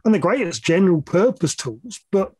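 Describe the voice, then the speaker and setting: A man's voice: sits high, expressive, normal speed, darkish tone, breathy edge, no echo. A middle-aged man explaining technical matters as an interview guest, hesitant at times, with plain-spoken asides. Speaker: podcast guest, loudspeaker measurement engineer.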